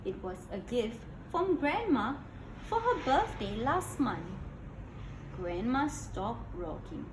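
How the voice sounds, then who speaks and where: Female children's read-aloud host, high and very expressive, sing-song delivery, roomy and noisy recording. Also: takes it slowly. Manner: sing-song, addressing young listeners with questions. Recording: echoey room with background noise.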